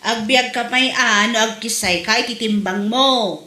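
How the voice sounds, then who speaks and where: Woman acting preachy scolder, Ilocano accent, very high voice, roomy echo, comedy drama. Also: a little slow, pushed and bright. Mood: scolding. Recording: echoey room.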